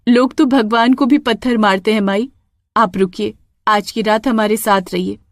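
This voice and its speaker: A woman voicing a kind, soft-hearted village mother in a storybook tale, with an expressive, pleading way of talking. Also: fairly high pitch, normal speed, no echo, clean studio sound, lightly acted. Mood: welcoming.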